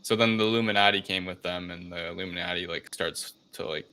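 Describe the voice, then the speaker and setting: Really deep voiced guy, bright and forceful, rambling through a movie recap on a podcast. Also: steady tone, quick pace, clean tone. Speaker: podcast host recapping a film. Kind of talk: casual film recap chat.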